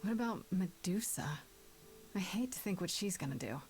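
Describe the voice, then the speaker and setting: An actress playing a frank, inquisitive young muse in a story game, with natural, wry delivery. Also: female voice, lowish voice, lively intonation, moderate pace, vocal fry, darkish tone. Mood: wry, surprised. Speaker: voice actor. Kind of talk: casual, natural game dialogue.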